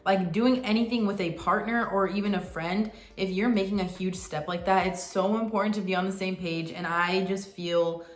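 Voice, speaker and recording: A young woman talking casually and earnestly to her viewers, sometimes in quick bursts. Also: medium pitch, fairly even intonation, reverberant. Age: young adult. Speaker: vlogger. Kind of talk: casual chat to camera.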